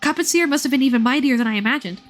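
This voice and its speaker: A woman voicing a gentle, innocent boy in a video game, earnest and full of wonder. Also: sits high, expressive, racing, faint music behind. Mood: wonderstruck.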